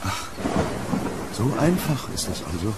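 Low-voiced haunted gentleman archaeologist. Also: sing-song, measured pace, background noise. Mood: reserved. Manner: polite, formal, brooding. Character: troubled, courteous gentleman archaeologist haunted by his past. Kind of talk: audio drama acting. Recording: rainy street ambience, thunder.

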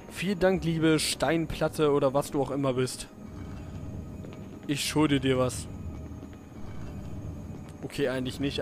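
A man giving casual, jokey commentary while playing a video game, talking to the characters on screen. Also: fairly high pitch. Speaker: let's play commentator. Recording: game music behind the voice. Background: game music.

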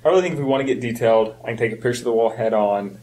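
Male co-host, fast conversational delivery in an average register. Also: mid-range voice, darkish tone. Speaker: podcast co-host. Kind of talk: casual chat.

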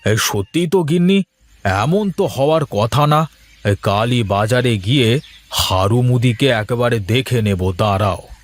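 A man playing a simple, good-natured village pumpkin seller in a cartoon, with folksy drawn-out exclamations. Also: medium pitch, animated, close to an everyday voice. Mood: defensive. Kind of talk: cartoon moral-story voice acting.

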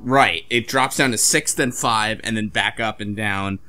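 A man co-hosting a podcast, giving a casual story recap in a halting, self-correcting way. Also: fairly low pitch, sharp tone. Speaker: podcast co-host. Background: faint instrumental music.

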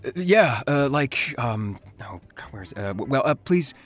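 A man playing an anxious, exasperated teenage schoolboy who stammers when flustered, in an animated web roleplay. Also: medium pitch, unhurried, soft background music.

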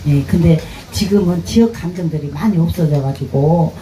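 A woman's voice: fairly low pitch, normal speed, dark tone, a little hiss or hum. A middle-aged woman from Korea's Jeolla region with a strong Jeolla accent, a festival performer chatting and teasing between songs on a handheld mic. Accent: Jeolla Korean dialect. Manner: chatty, teasing, earthy, bantering with audience. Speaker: pumba street performer and singer. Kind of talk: live stage banter between songs. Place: outdoor festival stage with loudspeakers. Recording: echoey outdoor PA sound with music.

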